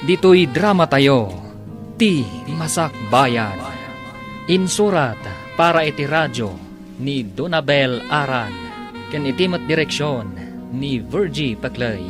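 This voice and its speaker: Male announcer, mid pitch, Ilocano Filipino accent, scripted dramatic radio narration, clear instrumental music behind.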